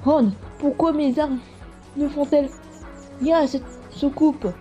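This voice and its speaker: Woman doing an irritable cartoon pilot, high voice, curt and picking fights, music going behind. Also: steady tone, slow, highs cut off. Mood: frustrated. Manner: curt, confrontational. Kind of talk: cartoon comic fan dub.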